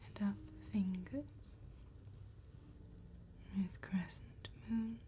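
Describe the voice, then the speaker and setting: A woman performing an elegant, courteous vampire tattooist in a soft-spoken ASMR roleplay, whispering breathily with formal, deliberate phrasing. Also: mid-range voice, steady tone, slight background noise. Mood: hushed, mysterious.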